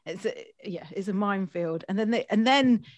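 A woman's voice: mid-range voice, moderate pace, no echo. A middle-aged woman hosting a casual interview, with informal, tentative phrasing. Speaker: interview host. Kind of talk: casual chat.

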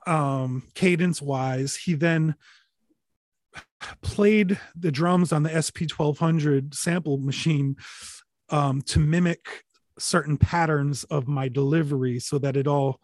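A man with a fairly high voice recalls his work in a loose, rambling way. He stumbles over restarts and fillers and swears casually. The sound is close and dry, with no room around it. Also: slow, a little mellow, no echo. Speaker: interview guest, recording artist. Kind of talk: relaxed conversational storytelling.

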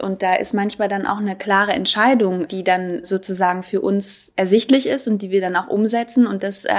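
A woman who co-founded a hotel, talking earnestly as an interview guest, with some hesitation. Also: medium pitch. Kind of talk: interview talk.

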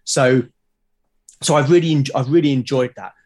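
A British man speaks as a guest in a conversational interview. His voice sits at an average pitch for a man. He is reflective and halting, often restarting a phrase as he explains how he works. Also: darkish tone, slightly rough. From Britain. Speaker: podcast guest, creator of a solo role-playing show.

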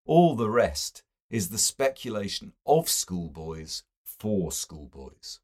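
A man's voice: lowish voice, big pitch swings, slow, a little mellow, exaggerated voice. A man reading a novel and voicing a solemn mystic poet who makes lofty, earnest pronouncements.

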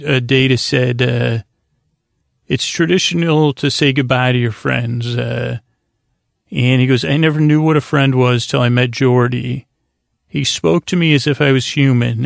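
A man hosting a sleep podcast in a meandering, halting monologue, gently reassuring and self-deprecating as he digresses and trails off. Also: mid-range voice, gravelly, no echo, clean studio sound. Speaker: podcast host.

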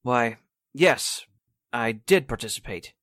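An actor playing a mild-mannered, evasive son under suspicion, hesitant and polite, in 1940s-style radio drama. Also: male voice, medium pitch, animated, slow, fairly bright tone, dry recording, studio quality.

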